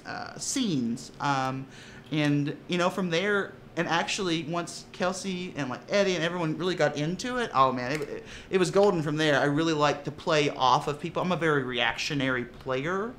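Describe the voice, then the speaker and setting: Bright, animated man telling stories. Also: on the high side, moderate pace, slight background noise. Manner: animated, laughing storyteller with many restarts. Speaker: tabletop player and voice actor. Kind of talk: casual interview chat.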